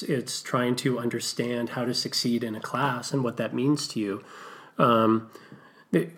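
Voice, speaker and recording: A middle-aged man co-hosting a podcast, speaking earnestly and reflectively as a parent. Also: medium pitch. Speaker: podcast co-host. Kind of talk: casual podcast discussion.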